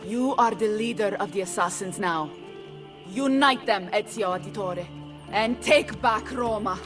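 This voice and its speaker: A woman's voice: medium pitch, a little slow, natural voice. An actress playing a proud, solemn noblewoman in a video game. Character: proud Italian noblewoman, solemn deposed ruler. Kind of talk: dramatic video game acting. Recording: clear music bed behind.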